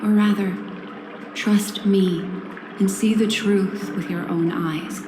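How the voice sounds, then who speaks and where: A woman voicing a composed, enigmatic female memory-keeper in a video game, speaking in formal, deliberate, protective phrases. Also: mid-range voice, noisy background, natural voice. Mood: earnest, resolute. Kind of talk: scripted video game character dialogue. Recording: roomy echo, loud background ambience.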